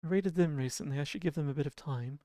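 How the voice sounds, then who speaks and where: A game streamer with a sleepy-sounding voice, chatting casually and softly with viewers, often chuckling and humming idle filler tunes. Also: medium pitch, fast talker, dry recording, studio quality. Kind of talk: casual livestream chat.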